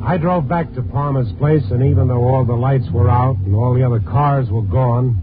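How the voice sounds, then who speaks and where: A man's voice: mid-range voice, moderate pace, over loud music, close to an everyday voice. Male actor as dogged American reporter, weary, clipped, hardboiled, 1950s radio drama, narrow tinny broadcast sound. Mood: weary narration. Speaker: lead actor and narrator.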